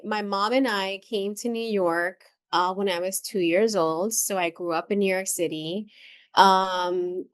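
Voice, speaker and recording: A woman's voice: medium pitch, fairly bright tone, smooth. A middle-aged woman from the Dominican Republic, a therapist guest telling her career story in a reflective way with many ums and restarts. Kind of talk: conversational interview.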